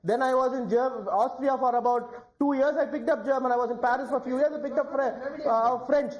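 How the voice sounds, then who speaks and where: A woman speaking on a TV debate panel, explaining her party's position in a measured way. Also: high-pitched, a little mellow, roomy sound, low-quality recording.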